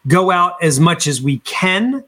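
A man's voice: high voice, very animated, unhurried, sharp tone. A middle-aged man chatting casually as an interview guest, blunt and emphatic, repeating words for stress. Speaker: musician, podcast guest.

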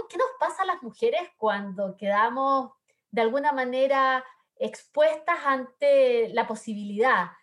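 A woman from southern Chile with a Chilean accent, speaking earnestly as a panelist, with frequent fillers and tag questions. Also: on the high side. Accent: Chilean Spanish. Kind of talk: panel discussion remarks.

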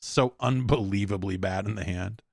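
An American man hosting a podcast alone in a casual, rambling, halting way, thinking aloud and speaking bluntly. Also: on the low side, vocal fry, no echo, studio quality. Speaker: podcast host. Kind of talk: casual solo podcast talk, reading listener emails.

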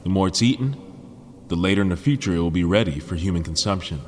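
An actor playing a socially awkward, proud and prickly billionaire inventor in a naturalistic sci-fi audio drama. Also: male voice, deep voice, lively intonation, fast talker, a little hiss or hum. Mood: proudly explaining. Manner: awkward, conversational, explanatory.

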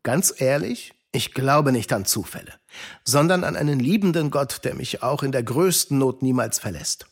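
A man voicing a wise, trusting small companion of children in a children's audio drama, animated and reassuring. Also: medium pitch, normal speed, creaky, slightly rough. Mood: reassuring, earnest.

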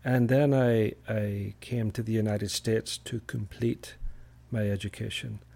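A middle-aged man from Northern Ireland speaks as a guest in a lowish, gravelly voice. His wording is measured and a little formal. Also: steady tone, mellow. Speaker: podcast guest.

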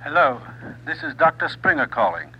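A man's voice: fairly high pitch, moderate pace, slight background noise, exaggerated voice. An actor playing a careful, guarded male doctor talking over the telephone in a radio drama. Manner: calm, careful, on the phone. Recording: dull old radio recording.

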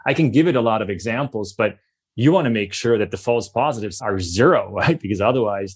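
A man's voice: mid-range voice, husky. A man in his forties with a Swiss accent, speaking as an expert on a panel and stressing his points with a tag of "right?". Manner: emphatic, peppers points with "right?". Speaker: CIO and security expert. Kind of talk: panel discussion.

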